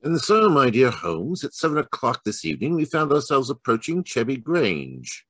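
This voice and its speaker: A man playing a formal Victorian doctor recounting a case, in old-time radio mystery narration, with a husky voice. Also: mid-range voice, wildly swooping pitch, normal speed, fairly bright tone, no echo, exaggerated voice. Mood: grave, reflective. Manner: formal, storytelling.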